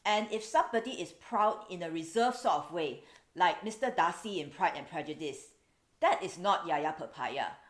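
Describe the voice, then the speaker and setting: A woman in her forties from Singapore with a Singaporean English accent, explaining things in a measured, wry vlog. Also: on the low side, expressive. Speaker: video host. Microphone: camera's built-in mic.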